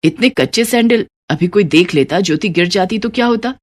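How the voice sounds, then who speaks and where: A woman's voice: mid-range voice, lively intonation, a little fast, natural voice. A woman voicing a stern older mother-in-law in a moral story, reproachful and authoritative. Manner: scolding, authoritative. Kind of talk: animated moral story voice acting.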